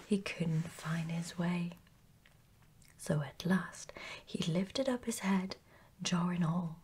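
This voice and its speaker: A woman reading a children's bedtime story in a hushed, gentle, unhurried ASMR whisper. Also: fairly low pitch, slight background noise.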